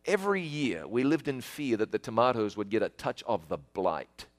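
A man's voice: medium pitch, sing-song, normal speed. Chatty New Zealand man reminiscing. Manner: folksy, chatty, addresses listener directly. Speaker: storyteller. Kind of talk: casual spoken reminiscence.

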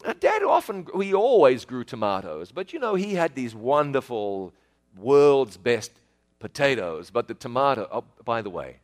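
A man's voice: mid-range voice, very animated, moderate pace. Chatty New Zealand man reminiscing. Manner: folksy, chatty, addresses listener directly. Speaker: storyteller. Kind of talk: casual spoken reminiscence.

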